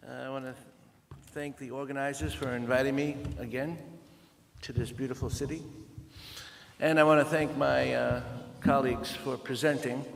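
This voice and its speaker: A man's voice: mid-range voice, steady tone, vocal fry. Dutch-accented man presenting in a hall. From Netherlands. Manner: measured, with frequent pauses and fillers. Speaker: conference speaker. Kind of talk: conference presentation. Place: large conference hall. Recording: reverberant conference hall.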